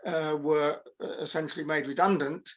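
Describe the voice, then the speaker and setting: A man informally explaining on a video call, very hesitant and halting with frequent pauses. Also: a little high, fairly even intonation, highs cut off. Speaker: panelist.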